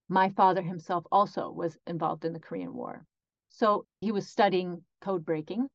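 Woman interpreter, mid pitch, measured phrasing, dry and slightly dull recording. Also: dry recording. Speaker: sign language interpreter voicing the guest.

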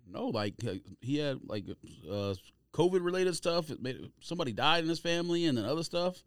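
A man's voice: on the high side, expressive, fairly quick, clear, resonant tone, dry recording, studio quality. A man co-hosting a casual podcast, debating sports and sneakers in slangy, argumentative banter. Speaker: podcast co-host.

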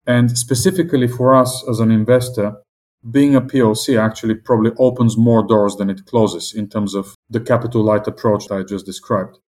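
A middle-aged man from Southern Africa with a non-British accent, answering interview questions as an investment executive in a measured, optimistic, businesslike way. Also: fairly low pitch, fairly even intonation, a little mellow. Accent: non-British English accent.

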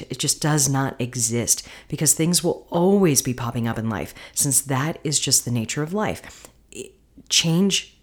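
A woman hosting her podcast, talking casually and encouragingly with a husky voice gone hoarse from singing. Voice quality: husky, deeper than usual. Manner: chatty, encouraging. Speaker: podcast host. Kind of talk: casual solo podcast talk.

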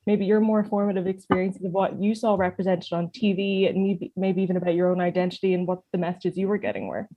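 A woman's voice: fairly low pitch, fast, hardly any pauses, muffled recording. Reflective woman co-host. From Ireland. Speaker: podcast co-host. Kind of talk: live podcast discussion.

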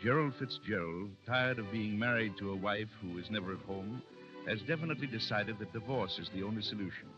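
A man's voice: lowish voice, a little fast. A man narrating a scripted 1930s radio drama as its announcer. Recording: dull vintage 1930s radio recording. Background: music.

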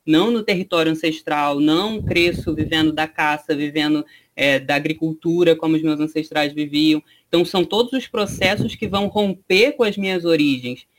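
A man's voice: on the high side, normal speed, hardly any pauses. A man in his twenties from Brazil, giving earnest interview testimony and building his points through repetition. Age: 20s.

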